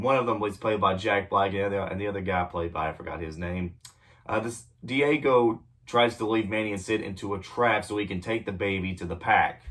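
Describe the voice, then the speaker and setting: A young man with a cold talks through a casual movie review. His voice sits on the low side and sounds a little off. He rambles, corrects himself often, and sounds set back from the microphone. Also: fairly bright tone. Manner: rambling, frequent ums and self-corrections, clears throat often. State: sick with a cold, voice a bit off. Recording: voice a bit distant from the mic.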